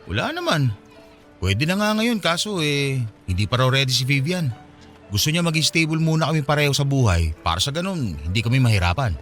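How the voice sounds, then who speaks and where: A man's voice: mid-range voice, sing-song, a little fast, fairly bright tone, soft background music. An actor playing a friendly 27-year-old Filipino man in a radio drama, chatty and teasing in a casual way. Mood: earnest. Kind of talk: Tagalog radio drama dialogue.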